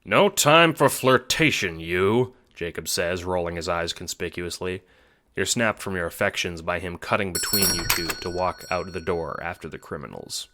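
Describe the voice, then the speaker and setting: A man's voice: low-pitched, steady tone, moderate pace, bright, forceful tone, slight background noise. A man narrating a scripted audio drama in the second person.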